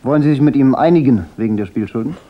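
A man's voice: mid-range voice, fast talker, lightly acted. A man acting a cool, laconic, insolent private detective in a German radio crime drama. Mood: cool, probing. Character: cool, wry, unflappable hard-boiled private detective. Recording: dull radio drama recording.

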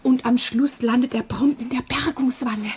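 An actress playing a chatty, teasing female publishing colleague in a German radio drama, her voice breathy and husky. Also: high voice, fairly even intonation, normal speed, background noise, natural voice.

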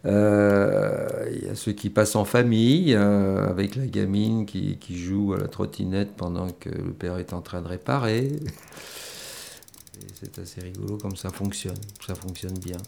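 Low, creaky-voiced man chatting over music. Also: fairly even intonation, a little slow, warm tone. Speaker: volunteer bicycle mechanic at a community repair workshop. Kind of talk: informal spoken account in an audio documentary.

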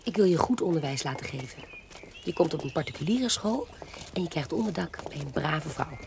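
A shrewd woman with a low voice, posing as nobility in a period audio drama. She speaks with self-assured command and protective firmness. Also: animated, a little fast, background noise. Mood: assured, instructive.

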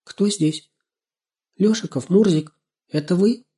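A man's voice: fairly high pitch, moderate pace, mellow, pitched above the performer's own voice, natural voice. A man voicing an affectionate, curious, easily frightened young girl with a ringing, tender voice in a storybook. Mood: calling out. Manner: exclaiming, full of questions.

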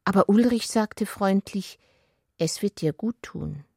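A woman reading literary prose for a radio production, with intimate, expressive, deliberate phrasing that often falls to a whisper. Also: mid-range voice, dry recording, clean studio sound. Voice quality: breathy, often falling to a whisper. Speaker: narrator of a radio literary adaptation. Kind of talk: literary scripted narration. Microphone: close studio mic, dry.

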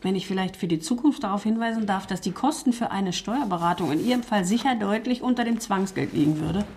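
A woman's voice: medium pitch, fairly quick, a little mellow, slight background noise, lightly acted. An actress playing a strict, officious female tax official in a radio drama. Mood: dismissive. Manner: curt, officious, ranting about tax injustice. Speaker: tax office clerk Frau Eckert.

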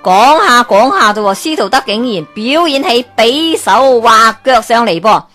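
A woman with a Hong Kong Cantonese accent narrating a folk tale as a radio storyteller, lively and expressive. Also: a little high, normal speed, faint music behind. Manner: lively, expressive, chuckling asides.

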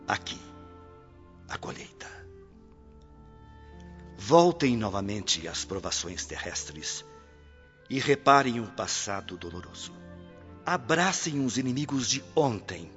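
A man's voice: lowish voice, bright, forceful tone, exaggerated voice. A man with a Brazilian accent playing a benevolent spirit mentor in a radio drama, addressing souls in solemn, formal exhortation. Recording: dull radio drama, faint music behind.

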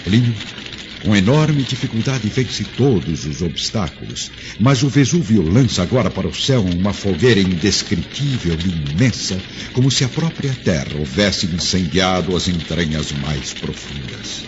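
Narrador brasileiro, voz grave e enfática, estilo radionovela, gravação lo-fi com música. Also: male voice, deep voice, expressive, normal speed, background noise. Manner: dramatic, emphatic. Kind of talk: dramatic radio drama narration. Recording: dull lo-fi sound with music. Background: music.

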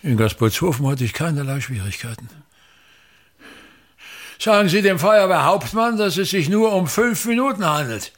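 An actor playing a vain, embittered older theatre actor and playwright in a radio play, domineering and declamatory, with an Austrian accent. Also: male voice, on the high side, big pitch swings, normal speed, a bit bright. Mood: imperious, indignant. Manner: theatrical, declamatory, haranguing, repeats words.

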